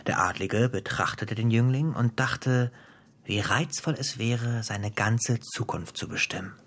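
An actor playing a menacing, sadistically playful gangster boss in a radio drama, talking in a taunting, theatrical way. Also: male voice, mid-range voice, measured pace, fairly bright tone. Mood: relishing storyteller. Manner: taunting, theatrical, toying with the listener. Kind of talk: German radio drama acting.

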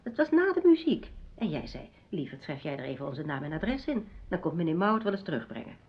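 An actress playing a doctor's guarded, quietly reproachful wife in a radio play, terse and understated. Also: female voice, low voice, sing-song, fairly quick, husky, full character voice. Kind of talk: Dutch radio play dialogue. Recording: dull lo-fi radio play recording.

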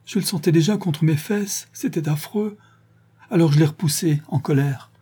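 Frightened young servant woman, played by a male reader, pleading and husky. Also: fairly high pitch, moderate pace, mellow, voice pushed up. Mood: distraught. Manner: pleading, distraught, words tumbling out.

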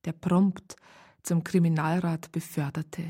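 Casting: sober police psychologist, slightly low voice, measured, wry, radio crime play. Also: female voice, level tone, warm tone, slightly rough. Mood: wry, matter-of-fact. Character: sober, wryly observant female police psychologist. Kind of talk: radio drama narration and dialogue.

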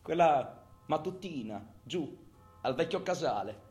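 A man's voice: a little high, lively intonation, measured pace, darkish tone, soft background music. Tormented, volatile man in echoey drama. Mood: anguished. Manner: foul-mouthed, muttering, abrupt swings between murmur and outburst. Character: tormented, volatile criminal man, taunting and cursing himself. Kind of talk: theatrical monologue, radio stage drama. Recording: roomy echo, faint background noise.

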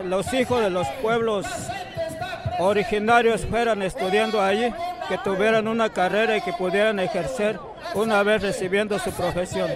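A man, a teacher at a street protest, speaking emphatically and at times almost shouting. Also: sits high. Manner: emphatic, restarts often, rising to near shouts. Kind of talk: impassioned street interview. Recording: crowd noise on location. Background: crowd of protesters.

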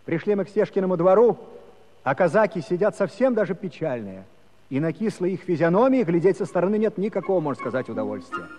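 A reverberant hall carries a wry Cossack villager. He tells his tale quickly in a high, swooping male voice, folksy and theatrical like a comic stage musical. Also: big pitch swings, warm tone. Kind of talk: theatrical storytelling in a musical comedy.

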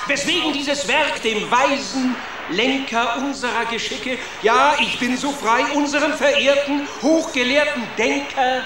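An actor voicing a vain, easily outraged rat composer in a children's radio play, declaiming in florid rhymes. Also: male voice, very high-pitched, unhurried. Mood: grandiose. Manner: declamatory, florid rhyming speech. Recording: very echoey room, noisy background.

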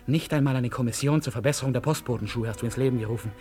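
A man's voice: mid-range voice, rapid-fire, a little mellow, natural voice. An actor playing a despairing, self-mocking man in a German radio play, brooding aloud in theatrical monologue. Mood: bitter, mocking. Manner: theatrical, brooding, swinging from murmur to outburst.

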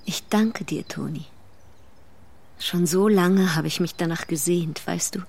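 A woman's voice: fairly low pitch, unhurried, vocal fry, darkish tone, husky, full character voice. An actress playing a seductive, bold married woman in a radio drama, intimate and coaxing. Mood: longing. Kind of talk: German radio drama acting.

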